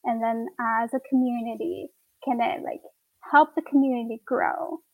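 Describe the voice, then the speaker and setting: High-voiced hesitant woman guest. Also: fairly even intonation, normal speed, phone-quality sound. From Taiwan. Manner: hesitant, many fillers and restarts. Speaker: guest, environmental science student. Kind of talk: casual interview answers.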